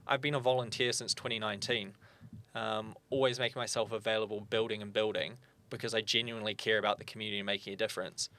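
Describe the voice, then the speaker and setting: A young New man talks as a podcast guest. He makes his case in an earnest, fluent way, tossing in quick asides, and his voice sits at an ordinary middle pitch. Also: bright, forceful tone. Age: young adult. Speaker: guest, firearm owners' spokesperson. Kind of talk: casual interview chat.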